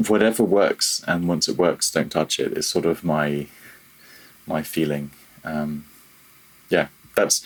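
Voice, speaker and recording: A man's voice: a bass voice, poor audio. A man talking casually as a technical interview guest, feeling his way through his thoughts and rephrasing as he goes. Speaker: podcast guest, audio programmer.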